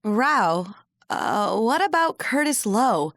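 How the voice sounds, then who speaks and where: A woman plays a perky young female sidekick in an audio drama. Her fairly high voice swings up and down in a breezy, excitable way, recorded dry. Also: takes it slowly, dry recording, heavily acted. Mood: curious.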